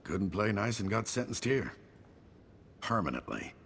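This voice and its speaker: An actor playing a gruff, battle-weary general with a clipped, wry military delivery in a video game cutscene. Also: male voice, fairly low pitch, sing-song, a little slow, a bit bright, a little hiss or hum, full character voice. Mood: grim, wry. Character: gruff, weary, wry male army general under siege.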